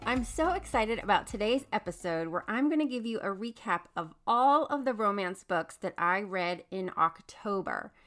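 A woman in her thirties hosts a casual podcast, her mid-range voice bubbly and eager. A cold leaves her voice a little raspy. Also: medium pitch, animated, moderate pace, hardly any pauses, fairly bright tone, faint music behind. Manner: bubbly, chatty, enthusiastic. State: getting over a cold, slightly raspy. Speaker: podcast host. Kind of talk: casual book review chat.

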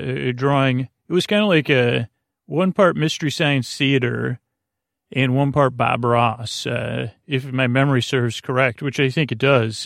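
A man hosting a sleep podcast with a creaky voice, giving a gentle, soothing, whimsical monologue that meanders through hesitations, fillers and tangents. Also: medium pitch, dry recording, studio quality. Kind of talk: rambling bedtime monologue.

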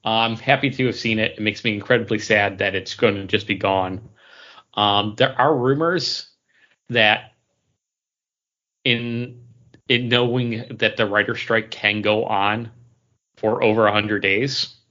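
A man's voice: lowish voice, fairly even intonation, a little slow, pauses often, sharp tone, lo-fi sound. A man co-hosting a podcast, chatting casually about pop culture. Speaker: podcast co-host.

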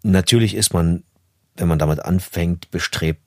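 A man's voice: deep voice, moderate pace, darkish tone, no echo. A man speaking casually and modestly as an interview guest, an audiobook narrator reflecting on his work in a studio. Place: recording studio.

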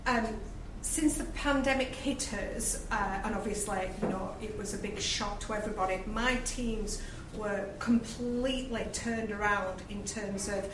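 A woman's voice: mid-range voice, measured pace, few pauses, background noise. Lancashire woman panellist, chatty and hesitant, distant roomy sound with audience ambience. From Lancashire, England. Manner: chatty, many fillers and hesitations. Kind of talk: live panel discussion. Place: event venue with live audience.

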